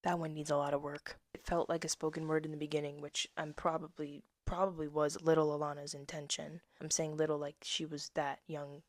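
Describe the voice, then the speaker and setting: Woman, 20s; low-pitched, hushed reader of her own verse, dry close mic. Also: no room sound. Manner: soft-spoken, drifts into whispers, laughs at herself. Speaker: poet reading her own work. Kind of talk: casual reading of old poems with asides.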